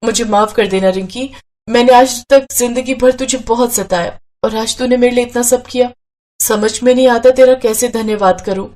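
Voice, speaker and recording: A woman's voice: on the high side, fairly even intonation, moderate pace, a little mellow, lightly acted. A woman voicing a quarrelsome, anxious young sister in a cartoon story, with a plaintive, emotional delivery. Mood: apologetic.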